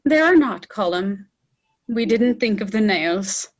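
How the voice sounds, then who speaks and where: A woman's voice: mid-range voice, expressive, unhurried. A woman playing a sorrowful, tender and practical young village daughter in a stage drama. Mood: subdued sorrow.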